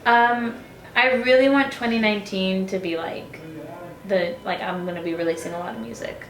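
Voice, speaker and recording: A woman's voice: medium pitch, moderate pace, a crowd in the background. Young woman, singer-songwriter guest, chatty, filler-heavy, roomy echo. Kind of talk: casual interview chat.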